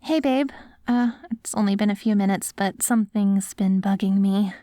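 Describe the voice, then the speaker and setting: A woman playing an affectionate post-apocalyptic wife in an ASMR audio roleplay, coaxing and gently praising her ghoul husband. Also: mid-range voice, moderate pace, natural voice. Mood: hopeful, fond.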